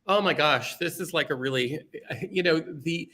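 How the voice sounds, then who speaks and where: A man from Canada and England chatting casually as an interview guest, telling stories in an animated way with careful diction and ready laughter. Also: on the high side, moderate pace. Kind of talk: casual chat, anecdotal storytelling.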